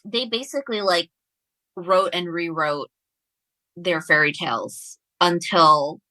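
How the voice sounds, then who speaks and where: Woman guest author, slightly low pitch, crisp bright edge, chatting casually about books in a dry recording. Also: slow pace, dry recording. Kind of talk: casual book discussion.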